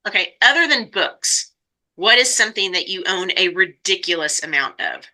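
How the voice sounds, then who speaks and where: Very bright-voiced woman podcast host. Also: lowish voice, animated, normal speed. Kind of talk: casual interview hosting.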